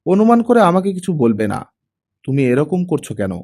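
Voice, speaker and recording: Audiobook role: cold, sharp-tongued wife, read by a man, scolding with animated intonation. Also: fairly high pitch, moderate pace, pitched above the performer's own voice. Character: stern, sharp-tongued wife of a retired officer.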